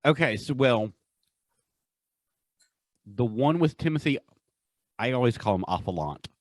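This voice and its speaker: A man co-hosting a podcast in casual, enthusiastic chat, with a halting way of talking. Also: medium pitch, lively intonation, no echo. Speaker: podcast co-host. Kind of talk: casual chat, countdown commentary.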